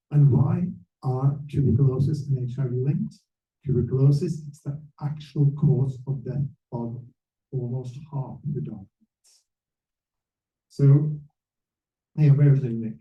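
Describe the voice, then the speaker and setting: A man speaking as a panel moderator, giving a public talk in halting, emphatic short phrases. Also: mid-range voice. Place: echoey room at a panel event. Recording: muffled, echoey room, distant mic.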